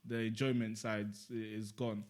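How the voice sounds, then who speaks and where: A man's voice: lowish voice, flat delivery, normal speed, darkish tone. Young man musing aloud. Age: young adult. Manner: reflective, rambling, full of "like" and "you get me". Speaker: podcast co-host. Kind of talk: casual podcast chat.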